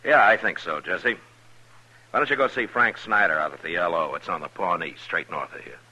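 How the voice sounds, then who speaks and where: An actor playing a stern, steady American lawman in a 1950s radio western, terse and calm with authority. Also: sits low, brisk, husky, heavily acted. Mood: calm, kindly. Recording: faintly noisy vintage radio drama recording.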